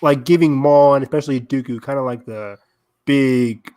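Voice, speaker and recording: A man's voice: medium pitch, fairly even intonation, normal speed, dark tone. A man co-hosting a podcast, talking casually in a fan discussion. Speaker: podcast co-host.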